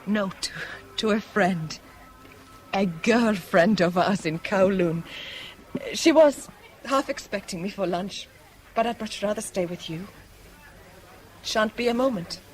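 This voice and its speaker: A woman's voice: medium pitch, a little slow, long pauses, faint music behind, close to an everyday voice, rough recording. An actress playing a troubled, evasive woman in a 1970s radio drama, hesitant in the way she talks. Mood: evasive, uneasy. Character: evasive, troubled woman under a man's influence.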